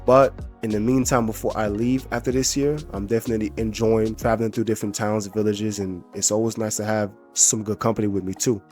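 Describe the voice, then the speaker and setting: Young male vlogger, low voice, easygoing slang, light music bed behind. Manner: relaxed, slangy, conversational. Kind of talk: casual vlog talk to camera.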